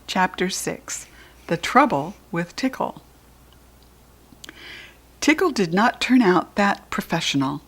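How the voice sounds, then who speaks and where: An American woman reads a children's chapter book aloud in a voice of ordinary pitch for a woman. She leaps up into an excited, high-pitched voice for the child's quoted lines. She sinks to soft, breathy murmurs for the quiet asides. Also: slow pace, long pauses. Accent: General American.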